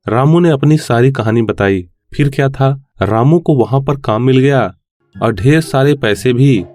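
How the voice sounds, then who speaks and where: A man's voice: medium pitch, measured pace, a little mellow, dry recording. Male story narrator over music. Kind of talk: scripted children's story narration.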